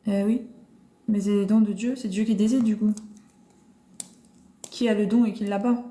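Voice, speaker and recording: A woman's voice: medium pitch, steady tone, normal speed, warm tone. Woman musing aloud, roomy phone live. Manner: thinks aloud with many restarts and fillers. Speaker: live stream host. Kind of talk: casual live-stream Bible discussion. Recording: roomy echo.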